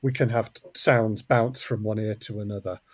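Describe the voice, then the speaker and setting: A man's voice: lowish voice, fairly even intonation, moderate pace, dry recording. A man chatting casually as an interview guest about his creative work. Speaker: guest, audio drama co-creator. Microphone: close mic, wearing headphones.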